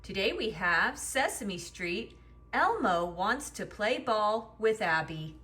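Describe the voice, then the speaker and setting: Female kids' puppet show presenter, bright voice, lively intonation, recorded a little way from the mic. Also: medium pitch, takes it slowly. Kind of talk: scripted children's show introduction. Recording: distant from the microphone.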